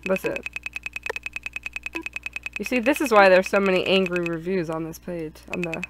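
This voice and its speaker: A woman's voice: fairly low pitch, normal speed, noisy background. A woman playing a visual novel with friends, reading dialogue aloud and chatting casually. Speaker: player in a group gaming stream.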